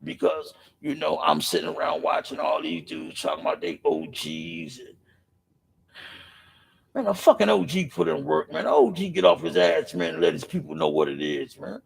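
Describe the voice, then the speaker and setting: A middle-aged man with a raspy voice, speaking in an impassioned, profane monologue on a podcast, filling his pauses with "man" and circling back through restarts. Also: on the high side, huge pitch swings. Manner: rambling with restarts. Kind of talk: impassioned street-life monologue.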